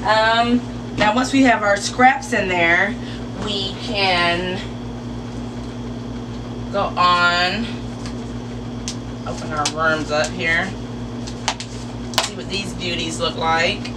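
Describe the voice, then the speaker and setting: Woman doing a casual step by step tutorial, slow talker, distant camera mic w clear background noise. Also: lowish voice, fairly even intonation. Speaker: host demonstrating a how-to. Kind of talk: informal step-by-step demonstration. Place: enclosed porch or sunroom. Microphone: camera mic a few metres away.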